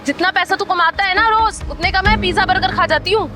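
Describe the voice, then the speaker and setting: A woman's voice: very high-pitched, fast, music in the background. A young woman playing an arrogant, argumentative customer in a short moral drama. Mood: haughty. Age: young adult. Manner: haughty, argumentative. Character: arrogant, haggling, rude young female customer.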